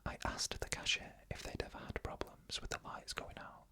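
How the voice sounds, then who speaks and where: A narrator reading creepy first-person stories in a soft, unvoiced ASMR whisper with slow, measured phrasing. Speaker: ASMR storyteller.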